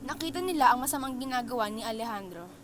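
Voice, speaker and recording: A woman's voice: a little high, lively intonation, quick pace, a little hiss or hum. A woman reading scripted narration for an amateur radio drama.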